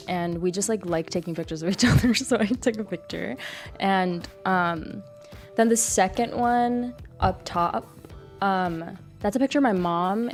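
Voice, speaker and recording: Young American female student, mid-pitched, giggly and halting, light background music. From Queens, New York City, USA. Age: young adult. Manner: hesitant, giggly, filler-heavy. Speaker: exchange student guest. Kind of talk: casual chat.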